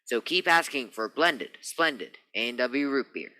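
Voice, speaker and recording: Man playing a bright, forceful vintage radio pitchman. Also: animated, a little slow. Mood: reassuring, upbeat. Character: upbeat, folksy vintage radio pitchman selling soda. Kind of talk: 1940s radio ad read.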